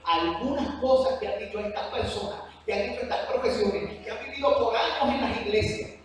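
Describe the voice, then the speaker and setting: A Cuban man preaches in a high voice, dwelling on each weighty phrase with earnest emphasis. He is heard from a distance across a big church hall, his words ringing in a long echo over faint room ambience. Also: fairly quick, a little hiss or hum. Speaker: preacher teaching a church class. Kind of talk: sermon. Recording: echoey hall from far off.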